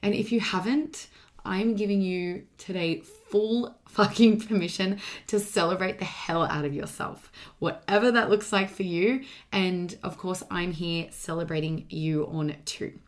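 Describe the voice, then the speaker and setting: A woman with a slightly low voice delivers a motivational solo podcast monologue. She talks like a coach, casual but emphatic, and restates her main points. Her voice sounds a touch strained, as if not at full strength. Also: fairly even intonation, a little slow. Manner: emphatic, repeats key points, casual swearing. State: voice slightly strained from partly losing it.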